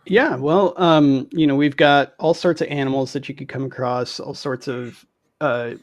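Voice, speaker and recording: Medium-pitched man, casual anecdotal chat. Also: fairly even intonation, normal speed, no room sound, dull audio. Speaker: guest, game creator. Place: indoor room on a video call.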